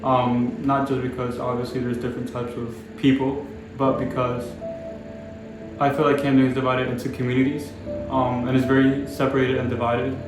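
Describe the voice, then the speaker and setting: Young man from the Dominican Republic, 20s; measured, even delivery, very roomy echo and music under. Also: mid-range voice. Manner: measured and explanatory. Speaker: planning graduate and local resident. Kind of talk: documentary interview. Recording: very echoey room with music behind.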